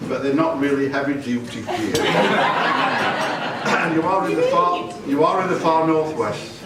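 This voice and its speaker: A man's voice: fairly high pitch, measured pace. An older man giving a jokey, rambling father-of-the-bride wedding speech, often correcting himself as he goes. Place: wedding reception hall. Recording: echoey hall from far off, noisy.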